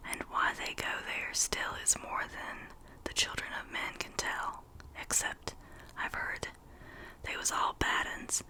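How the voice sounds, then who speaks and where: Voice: takes it slowly, lightly acted, low-quality recording. A whispering reader doing an old shepherd. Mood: grave. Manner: whispered storytelling voice. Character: old rustic male shepherd, grave and superstitious.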